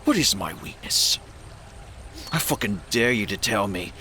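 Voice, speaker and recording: A man acts a brash, hard-drinking prizefighter with a short temper. His pitch swings wildly as he swaggers and talks matey in an audio drama. The recording has background noise. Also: big pitch swings, measured pace, sharp tone, heavily acted. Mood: angry, taunting. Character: cocky, hot-tempered, hard-drinking male champion fighter.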